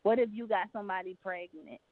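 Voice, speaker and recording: A woman's voice: mid-range voice, fairly even intonation, quick pace, dry recording. A woman playing along in an improvised role play, skeptical and full of questions. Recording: dry phone-like line.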